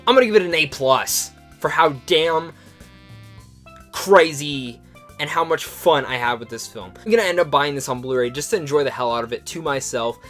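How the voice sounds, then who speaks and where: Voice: mid-range voice, moderate pace, a bit bright, soft background music. A person giving a casual, off-the-cuff verdict as a film reviewer.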